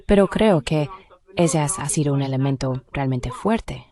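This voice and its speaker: A woman with a distinctly low-pitched voice gives explanatory interview answers. She speaks as an academic guest, setting out her points as an expert would. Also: lively intonation, a little slow, crowd noise.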